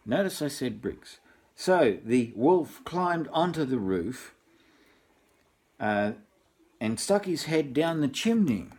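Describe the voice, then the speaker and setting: A man's voice: medium pitch, takes it slowly, long pauses, breathy edge. An older Australian man, a grandfather, reads a storybook informally, wandering off into jokey, repetitive asides. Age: 60s or older. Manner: jokey, rambling, repeats himself with deadpan asides. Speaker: grandfather reading a bedtime story.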